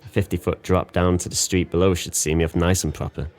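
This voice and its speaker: An actor playing a guilt-ridden, drunken former sailor in an audio drama, bleak and bitter, with a brooding, poetic delivery. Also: male voice, deep voice, flat delivery, quick pace, a little mellow. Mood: despairing. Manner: brooding, poetic, theatrical monologue.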